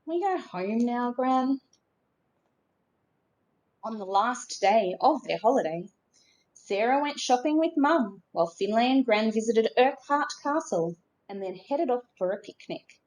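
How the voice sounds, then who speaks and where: A woman narrating a children's storybook expressively, in a measured way with dramatic emphasis. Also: fairly high pitch, animated, a little mellow, low-quality recording. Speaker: storybook reader.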